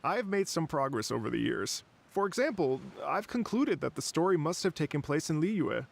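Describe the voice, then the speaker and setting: An actor playing an earnest, wistful middle-aged man on a lifelong quest in a video game, telling his story articulately with a creaky voice. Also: a little high, very animated, normal speed, full character voice. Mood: earnest, hopeful.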